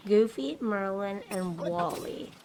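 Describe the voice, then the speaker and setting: A woman hosting a gaming video, narrating casually and chattily in a slightly rough, raw voice as she recovers from a cough. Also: medium pitch, slow, a little mellow, slight background noise. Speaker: host of a gaming video series. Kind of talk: casual gameplay narration voice-over.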